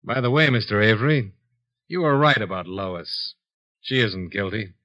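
Dry shrewd radio private eye, hard-boiled and deliberate, dull old 50s recording. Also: male voice, on the low side, animated, pushed and bright. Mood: coolly accusing. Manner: dry, matter-of-fact, deliberate. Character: cool, shrewd male insurance investigator, hard-boiled and unhurried. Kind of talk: 1950s radio detective acting.

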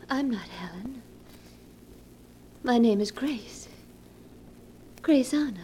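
Slow, dazed, deranged woman. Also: fairly high pitch, animated, warm tone. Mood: dazed, haunted. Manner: breathy, slow, trancelike. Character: dazed, deranged woman insisting on another identity. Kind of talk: 1940s radio melodrama acting. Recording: noisy vintage radio, distant from mic.

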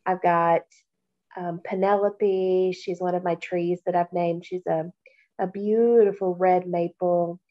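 Slow-speaking woman with a stuffy nose. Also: fairly low pitch, steady tone, lo-fi sound. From Kentucky. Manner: slow, warm, reflective, with many pauses and fillers. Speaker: podcast co-host. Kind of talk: casual podcast chat.

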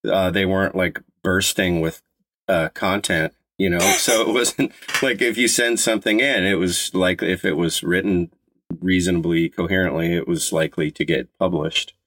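Low-voiced man with a slight stutter. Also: steady tone, a bit bright. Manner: slight stutter, halting restarts. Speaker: podcast co-host. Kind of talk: casual chat.

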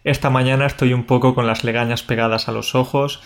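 A man's voice: mid-range voice, level tone, a little fast. A man from co-hosting a casual podcast for learners, a bit sleepy, friendly and easygoing, talking over a video call. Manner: friendly, easygoing, talks to listeners. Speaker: podcast co-host. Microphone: recorded over a video call.

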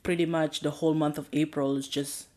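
A woman's voice: low voice, flat delivery, a little fast, mellow. A woman co-hosting a podcast, chatting casually in a laid-back, easygoing way. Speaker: podcast co-host. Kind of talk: casual chat.

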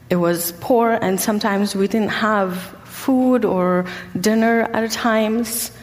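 A young woman from Egypt giving a personal testimony as a guest speaker, halting often with ums and pauses. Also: lowish voice, a little mellow, big-room sound. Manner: hesitant, many ums and pauses. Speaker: guest speaker sharing her story.

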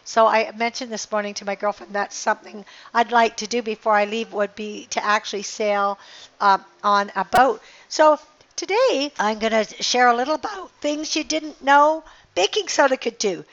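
A woman's voice: a little high, moderate pace, fairly bright tone, muffled recording. An older woman, a life coach, talking casually and earnestly on her podcast while losing her voice by the ocean. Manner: earnest, rambling, inspirational, with restarts. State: slightly hoarse, losing her voice. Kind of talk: casual motivational monologue. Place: outdoors by the ocean.

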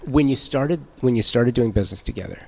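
A man with a medium-pitched voice speaks as a podcast host. His delivery is conversational, that of an interviewer talking with guests. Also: lively intonation, quick pace, creaky, darkish tone.

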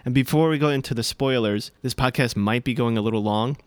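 A man's voice: mid-range voice, quick pace, no room sound. A man co-hosting a podcast in casual chat, easygoing and enthusiastic. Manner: easygoing and enthusiastic, plugs the call-in line. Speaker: podcast co-host.

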